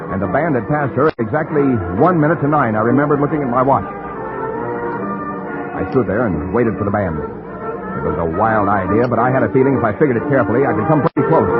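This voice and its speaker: An American actor playing a desperate newspaper reporter, narrating in urgent, hard-boiled radio noir style. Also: male voice, mid-range voice, lightly acted. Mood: determined, urgent. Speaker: lead actor and first-person narrator. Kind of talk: vintage radio noir acting. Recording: dull old radio broadcast with music.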